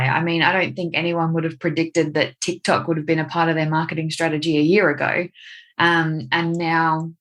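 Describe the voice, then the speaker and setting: A woman's voice: fairly low pitch, fairly bright tone. A young adult woman with an Australian accent, a guest on a podcast interview, talking in a chatty, candid way. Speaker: guest, marketing agency owner.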